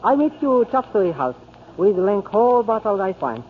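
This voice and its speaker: A man's voice: high-pitched, very animated, normal speed. An actor playing an eager Chinese father who runs a bait barge, in clipped broken English with a Chinese accent, in 1940s radio style. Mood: eager, bargaining. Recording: muffled, hissy 1940s radio recording.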